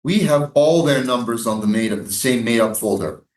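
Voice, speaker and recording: A man's voice: medium pitch, lively intonation, moderate pace, natural voice. Guy acting sardonic army man in a play reading, dismissive, echoey room, far from mic. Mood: cynical. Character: cynical, coolly dismissive male soldier. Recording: distant, echoey live stage reading.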